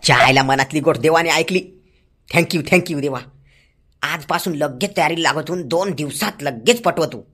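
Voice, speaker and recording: A man's voice: fairly high pitch, normal speed, fairly bright tone. A man voicing a scheming, boastful young village lad in an amateur comedy cartoon, talking in a rustic, colloquial way. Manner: rustic, colloquial, cocky with hesitant stalling.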